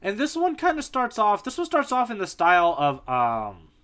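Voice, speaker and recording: A man with a slightly high, bright and forceful voice in a casual podcast chat. He co-hosts conversationally, paraphrasing in an animated way as he explains his points. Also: moderate pace. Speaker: podcast co-host. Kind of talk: casual chat, manga discussion.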